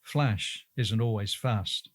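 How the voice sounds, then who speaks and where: A man's voice: lowish voice, takes it slowly, dry recording. A man reading out prepared interview answers.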